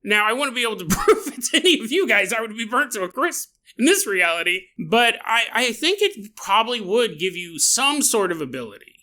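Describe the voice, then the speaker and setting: A man's voice: high voice, sing-song, brisk, hardly any pauses, bright, forceful tone. A man hosting a podcast, telling a strange story in a jokey way, often laughing and adding side remarks. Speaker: podcast host. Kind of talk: comedic paranormal storytelling.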